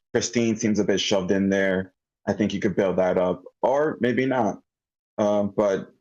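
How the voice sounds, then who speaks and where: A man giving casual spoken critique of a script in an online meeting, halting and thinking aloud. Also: sits low, darkish tone. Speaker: script feedback participant. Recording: slightly dull online meeting audio.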